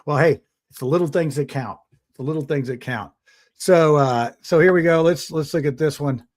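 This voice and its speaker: A man's voice: a little high, normal speed, a little mellow. A man hosting a casual panel talk show, rambling with many restarts and frequent chuckles. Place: outdoors in the sun.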